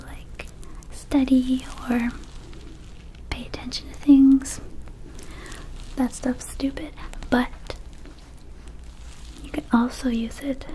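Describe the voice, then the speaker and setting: Woman playing a slow, whispering little vampire herb seller. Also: high voice, little pitch movement, rough recording. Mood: dreamy, casual. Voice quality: soft, breathy whisper. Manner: hesitant, rambling, playfully casual salesmanship. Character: small goofy vampire herb dispensary keeper, laid-back and shady. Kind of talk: ASMR roleplay, mostly whispered.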